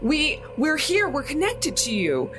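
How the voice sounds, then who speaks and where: A frightened, high-voiced woman in a tabletop horror story, acted out by a female player. She stammers and repeats herself in pleading bursts, with music behind her. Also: wildly swooping pitch, measured pace, exaggerated voice. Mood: panicked pleading. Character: frightened woman babbling pleas before a powerful horror.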